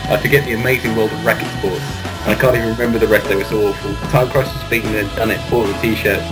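A man's voice: lowish voice, steady tone, fast talker, over loud music. A man co-hosting a podcast, bantering casually with friends in a jokey, sweary manner. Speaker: podcast co-host. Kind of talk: casual banter among friends, with a dramatic reading.

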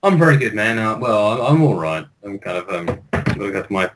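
Quick-talking man with lowish voice, podcasting. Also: expressive. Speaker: podcast co-host. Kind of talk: casual banter.

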